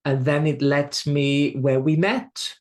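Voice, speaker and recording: A man's voice: a little high, steady tone, slow pace. A man with a Swiss accent, a medium being interviewed on a podcast, speaking reflectively and often restarting and repeating words. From Switzerland.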